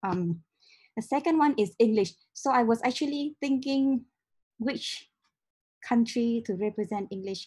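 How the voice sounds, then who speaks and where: A woman's voice: medium pitch, darkish tone, husky. A young woman whose first language is Vietnamese, giving a casual talk on a video call and explaining step by step with many ums. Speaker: meetup speaker. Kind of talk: casual tech-meetup talk with slides. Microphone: video call webcam.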